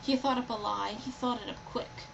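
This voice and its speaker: A woman narrates a rhyming children's story expressively in a fairly high voice. The recording is somewhat muffled, with clear noise behind her. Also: steady tone, moderate pace. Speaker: storybook reader. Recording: dull, lo-fi, loud background noise.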